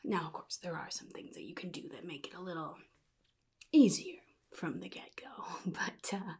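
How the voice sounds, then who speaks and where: A woman voicing a chaotic, jittery male clown supervillain in soft-spoken ASMR roleplay, half-whispering and rambling in halting, sly phrases. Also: lowish voice, expressive, exaggerated voice. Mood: rambling, teasing. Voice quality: breathy, gravelly, half-whispered. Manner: halting, rambling, sly, addresses listener as kid.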